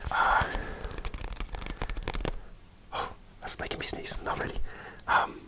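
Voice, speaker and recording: Close, soft-whispering man. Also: slow. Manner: soft rambling whisper with frequent hesitations. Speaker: ASMR creator. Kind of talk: whispered ASMR storytelling.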